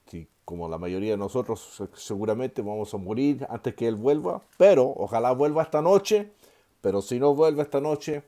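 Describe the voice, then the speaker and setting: A man in his sixties, from Chile, teaches a recorded seminary course. His voice sits a little low and sounds warm and mellow. He talks at an easy pace, fills his pauses with soft "eh" and "ah" sounds, and slips in a gentle joke now and then. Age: 60s. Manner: unhurried, full of filler sounds and restarts; gently joking aside. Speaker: seminary professor. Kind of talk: online course lecture.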